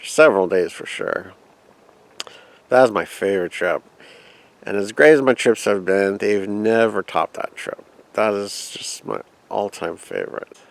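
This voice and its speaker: Creaky-voiced man telling a travel story. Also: on the low side, unhurried, dry recording. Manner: rambling, reminiscing, quotes his own thoughts. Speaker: vlogger. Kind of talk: casual personal travel story.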